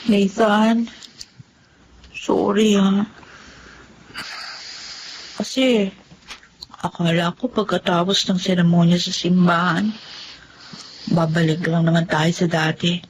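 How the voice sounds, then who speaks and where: A woman's voice: lowish voice, fairly even intonation, slow, pauses often, slight background noise, poor audio. A woman with a Filipino accent playing a stubborn, emotional young newlywed wife in a radio drama. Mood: soft, apologetic. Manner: emotional, pleading.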